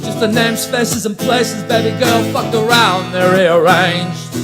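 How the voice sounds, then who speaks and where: Open mic singer, male, unhurried drawl, stage mic in a small coffee shop. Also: high voice, steady tone. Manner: drawling casual singing with guitar. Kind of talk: singing an original song.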